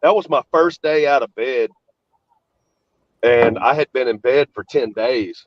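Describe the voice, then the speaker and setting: A man's voice: medium pitch, measured pace, dry recording, muffled recording. A man hosting a casual chat, congested and sniffly as he recovers from illness, rambling through his stories. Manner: rambling, relaxed storytelling. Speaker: host.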